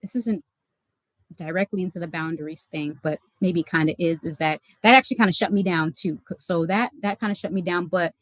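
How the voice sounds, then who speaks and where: A woman's voice: on the low side, fairly quick, no echo. Casual, dry-sounding woman host. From United States. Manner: casual, many fillers and self-corrections. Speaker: life coach and channel host. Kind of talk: reflective personal talk to camera.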